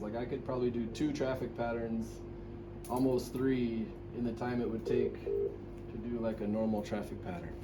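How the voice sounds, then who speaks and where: A man, a helicopter pilot, explaining an idea in a casual discussion. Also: medium pitch. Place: airport control tower. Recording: loud background noise on location.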